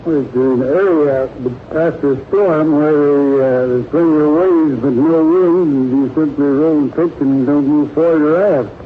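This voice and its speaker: Higher-voiced man on a tinny old radio quiz. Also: normal speed, hardly any pauses. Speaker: quiz show panelist. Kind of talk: off-the-cuff answers on a live 1940s radio quiz. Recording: tinny, noisy 1940s radio broadcast.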